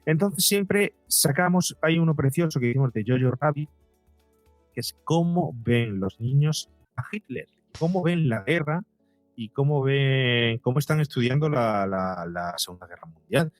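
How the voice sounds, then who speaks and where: A man's voice: medium pitch, expressive, a little slow, no echo, soft background music. A middle-aged man with a Spain accent answering conversationally as a podcast guest, reflective and repeating phrases for emphasis.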